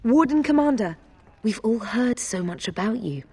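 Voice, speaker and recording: Soft, husky noble informant. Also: female voice, on the high side, animated, moderate pace. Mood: secretive, grave. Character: guarded, conspiratorial noblewoman informant, wary and shrewd. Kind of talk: scripted fantasy game dialogue.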